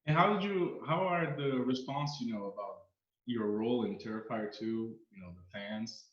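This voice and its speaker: A man's voice: medium pitch, a bit bright, echoey room, muffled recording. A man from Brazil with a Brazilian Portuguese accent, hosting an interview in English and stopping often to find his words. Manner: halting, searching for words. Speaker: interviewer, channel host. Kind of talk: casual interview.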